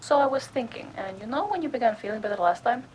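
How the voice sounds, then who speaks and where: A woman performs a friendly comrade checking in during an audio drama. Her voice is a bit high, casual and hesitant with concern. Faint noise and ambience sit behind it. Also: animated, quick pace, a little mellow, close to an everyday voice, poor audio. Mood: coaxing, worried. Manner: hesitant, caring.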